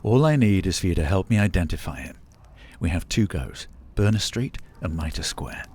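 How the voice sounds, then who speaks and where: A man acting as a confident, secretive ex-marine in an audio drama, measured and assertive. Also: sits low, animated, a little mellow, a little hiss or hum. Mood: assured, explaining. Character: secretive, confident ex-marine man plotting a time-travel plan.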